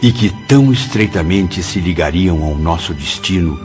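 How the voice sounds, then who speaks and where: Humbled spirit telling his story, low solemn voice, brazilian accent, music loud behind. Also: animated, moderate pace. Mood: solemn, moved. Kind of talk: scripted radio drama narration. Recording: dull recording under loud music.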